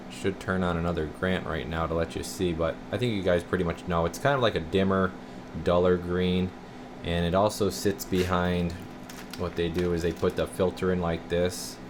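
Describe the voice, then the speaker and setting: Low-voiced man, casual repair walkthrough. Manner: folksy, informal, addressing viewers as guys. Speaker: radio repair technician. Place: indoor radio repair workshop. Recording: clear steady room noise.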